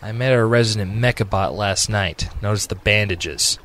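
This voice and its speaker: A man's voice: on the low side, moderate pace, a bit bright, slight background noise, close to an everyday voice. A man playing a worried, protective male echidna guardian in an amateur radio drama. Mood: troubled.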